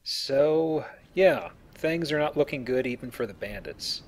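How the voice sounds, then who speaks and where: A man's voice: mid-range voice, expressive, a little slow. A man giving casual, explanatory gameplay commentary as he plays a video game. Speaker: let's player playing and explaining the game.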